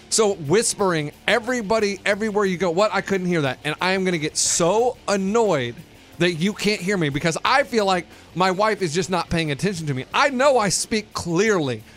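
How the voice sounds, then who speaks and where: A young man co-hosting a sports radio show in casual banter, emphatic and loud, acting out little quoted exchanges. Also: high voice.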